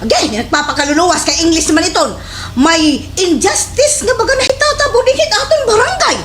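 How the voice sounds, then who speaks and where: A scheming, boastful village gossip played by a woman in a slapstick radio comedy, with a Waray Filipino accent. The voice is high and swings wildly, theatrical and full of exaggerated indignation. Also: expressive, a little fast, background noise. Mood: indignant, urgent.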